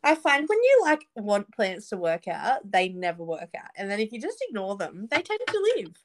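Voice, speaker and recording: Bubbly woman in her twenties, lively pitch. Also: moderate pace, slight background noise. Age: 20s. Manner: bubbly, giggly, eager reactions. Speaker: podcast co-host. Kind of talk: casual chat.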